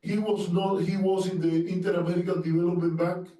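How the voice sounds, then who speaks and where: A man with a Cuban Spanish accent speaks earnestly to a seminar audience, often stumbling and restarting his sentences. His voice is slightly high and mellow. He sounds distant from the mic, in a very echoey room. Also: little pitch movement. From Cuba. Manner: earnest, halting with restarts and fillers. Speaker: panelist. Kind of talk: academic seminar talk.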